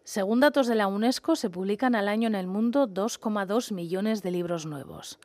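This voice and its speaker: Woman reading poetry and narration, mid pitch, super dry studio sound. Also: moderate pace, darkish tone, dry recording.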